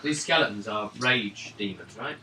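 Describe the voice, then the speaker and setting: A man's voice: on the low side, animated, normal speed. A man acting as game master, casually explaining turns and rulings at a tabletop game. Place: indoors at a gaming table. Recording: echoey room, distant mic, clear noise.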